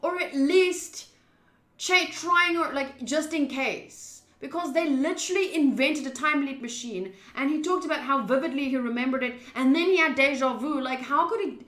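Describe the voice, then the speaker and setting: A bright, forward woman's voice with roomy echo around it. She streams a visual novel, reading the text aloud and commenting in a blunt, informal way. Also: high voice, moderate pace.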